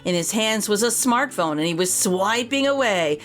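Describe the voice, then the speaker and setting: A woman reading a rhyming children's story aloud as an expressive storyteller. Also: medium pitch, normal speed. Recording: voice over background music. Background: music.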